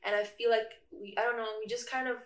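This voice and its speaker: A woman's voice: a little high, steady tone, fast talker. A young woman from Indonesia chatting casually as a student guest, in informal, loosely hesitant phrasing. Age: young adult. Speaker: podcast guest, international student. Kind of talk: casual chat. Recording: roomy echo.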